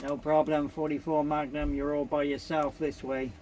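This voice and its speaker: A man from the United Kingdom with a slightly high voice works the CB radio. He speaks politely in short repeated phrases and call signs, with clear noise over the recording. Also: level tone, normal speed. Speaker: CB radio operator.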